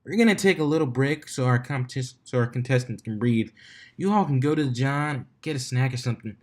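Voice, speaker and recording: A man's voice: mid-range voice, fairly quick, lightly acted. A man voicing a flippant, hard-partying female pony announcer, speaking to an event in an easygoing style. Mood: breezy.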